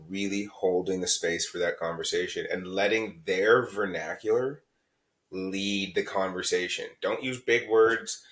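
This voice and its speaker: Blunt, swearing man with a low voice. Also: fairly bright tone. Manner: blunt, heated, swears freely. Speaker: podcast co-host; parent. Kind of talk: candid podcast discussion.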